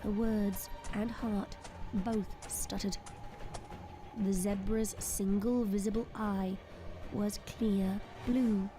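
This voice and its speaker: A woman giving dramatic scripted story narration in a measured, suspenseful manner. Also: mid-range voice, fairly even intonation, music in the background.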